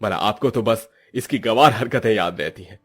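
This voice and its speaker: A man voicing an indignant, emphatic young husband with a husky voice in a moral story. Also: lowish voice, normal speed, fairly bright tone. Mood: protective. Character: exasperated young husband, scolding yet protective. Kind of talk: dramatized Hindi moral story dialogue.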